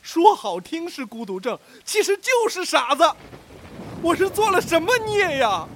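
A bitter, broken father in a radio drama, speaks in anguish. His voice is very high and strained for a man and swings widely, over faint noise. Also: very animated, unhurried, fairly bright tone, heavily acted. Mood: anguished outburst. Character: bitter, despairing father who feels his dreams are shattered.

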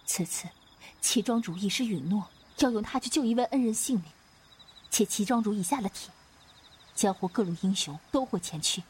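Woman playing young female martial disciple, very animated, clouded voice, playful, earnest, coaxing, wuxia audio drama. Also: mid-range voice, normal speed, slightly rough. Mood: grave, earnest. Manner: hesitant, coaxing, theatrical. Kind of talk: Chinese wuxia radio drama acting.

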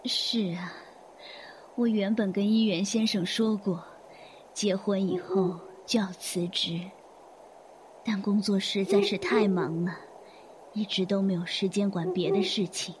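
A woman acting in a Chinese audio drama as a gracious, weary middle-aged hotel manager, soft-spoken, polite and unhurried. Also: medium pitch, fairly even intonation, a little hiss or hum, lightly acted. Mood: reflective.

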